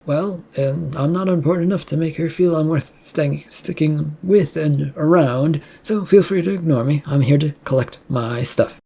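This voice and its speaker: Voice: medium pitch, normal speed, vocal fry, hardly any pauses, mellow, husky. A reader voicing a defiant male researcher in an audiobook story, blunt, probing and flippant. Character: defiant, smirking male researcher challenging his boss.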